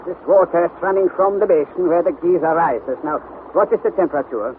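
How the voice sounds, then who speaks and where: An actor playing an eccentric, stubborn older professor in an old radio drama, bossy and given to emphatic repetition. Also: male voice, a little high, brisk, phone-quality sound, close to an everyday voice. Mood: dismissive, brisk. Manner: imperious, repeats himself emphatically. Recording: thin, noisy vintage radio recording.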